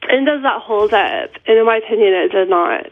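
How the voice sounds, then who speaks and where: A woman's voice: medium pitch, animated, a little fast, no room sound. Congested middle-aged woman on a tinny phone line. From Seattle area. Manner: chatty, laughs between thoughts, frequent fillers. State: congested from a cold. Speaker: author and guest caller. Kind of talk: radio interview by phone. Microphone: telephone.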